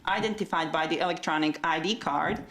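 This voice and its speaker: A woman's voice: sits low, a little fast, a bit bright. A woman from Slovakia, an audience member who speaks directly and reads a passage aloud before her question.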